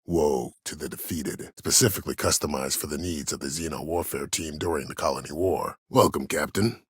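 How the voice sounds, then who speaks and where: An actor playing a calculating, courteous older military schemer in a video game, speaking in a measured, formal, silkily polite and menacing way. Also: male voice, very deep voice, slightly rough, dry recording, studio quality. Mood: measured, ominous. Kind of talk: dramatic video game voice acting.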